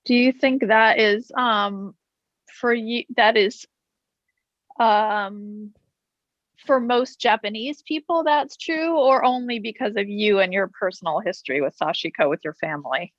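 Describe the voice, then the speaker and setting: A woman hosting a casual conversation, asking hesitant, careful questions with many pauses. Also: mid-range voice, no room sound, muffled recording. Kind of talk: casual interview chat.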